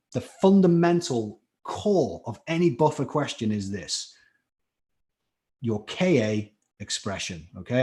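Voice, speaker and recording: Chatty Scouse chemistry tutor. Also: male voice, mid-range voice, a little mellow. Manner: chatty, encouraging, reads out chat names. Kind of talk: live online class teaching.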